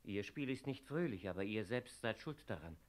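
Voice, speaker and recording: An actor playing a solemn, reproachful musician freed from prison in a classic German radio play. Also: male voice, on the low side, animated, moderate pace, lightly acted.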